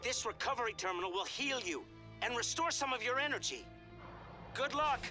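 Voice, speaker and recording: A man voicing a composed young military ally in a video game, giving crisp, urgent guidance. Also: very high-pitched, lively intonation, brisk. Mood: urgent, helpful. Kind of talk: video game character dialogue. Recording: game music behind.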